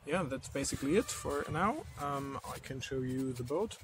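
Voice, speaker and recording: A young man vlogging outdoors by a harbor into a handheld camera, explaining his model boat slowly and searching for words. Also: mid-range voice, lively intonation. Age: young adult. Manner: slow, searching for words, non-native phrasing. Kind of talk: casual vlog explaining to camera. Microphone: action camera with furry windscreen mic held close. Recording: outdoor wind and traffic noise.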